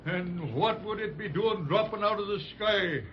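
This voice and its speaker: A man's voice: high-pitched, normal speed, natural voice. A man playing a cocky, stubborn roly-poly policeman with a teasing manner in a 1930s radio serial. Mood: smug, teasing. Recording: dull, noisy 1930s radio recording.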